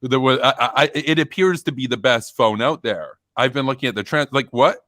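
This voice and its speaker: Guy hosting a stream, bright forceful voice, rambly and excited, dry close mic. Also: medium pitch, normal speed, no echo. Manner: rambling, animated, lots of fillers and restarts. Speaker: stream host. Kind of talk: casual chat.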